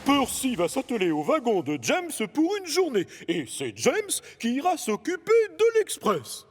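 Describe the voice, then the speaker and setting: A man's voice: very high-pitched, wildly swooping pitch, a little slow, slightly rough, exaggerated voice. A male actor playing a stern, authoritative railway boss in a children's cartoon, with a bossy, decisive delivery. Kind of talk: dubbed children's cartoon dialogue. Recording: long hall-like reverb.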